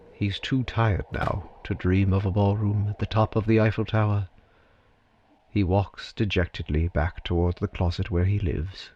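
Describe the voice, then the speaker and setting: A man narrating a scripted story theatrically, with dramatic, deliberate phrasing and pauses. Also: on the low side, a little mellow, lo-fi sound, a little hiss or hum.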